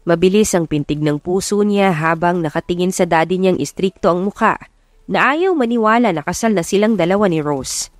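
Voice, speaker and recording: A woman's voice: lowish voice, fairly quick, no echo. A woman reading a scripted romance story as a dramatic audiobook narrator.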